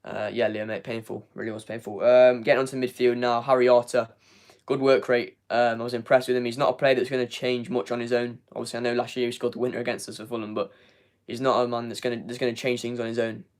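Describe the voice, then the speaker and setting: Hoarse young man, flat rambling delivery. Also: medium pitch, fast, few pauses. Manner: rambling, repeats words, many ums. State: losing his voice. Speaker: fan channel host. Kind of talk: live match review, reading chat.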